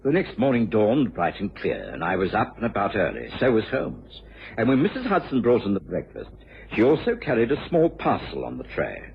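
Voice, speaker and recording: An actor playing an earnest, gentlemanly doctor, the loyal companion of a detective, in an old-time radio drama. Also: male voice, fairly low pitch, creaky, heavily acted. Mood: composed, storytelling. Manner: measured, expressive storytelling, easily flustered. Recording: muffled old radio recording.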